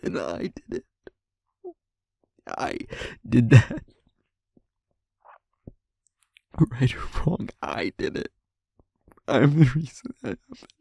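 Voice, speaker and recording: A man playing a guilt-ridden werewolf pack leader confiding in a partner in an intimate audio drama, with a halting, heavy, emotionally raw delivery. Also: mid-range voice, very animated, gravelly, darkish tone, husky, dry recording, clean studio sound, heavily acted. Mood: breaking down, tearful.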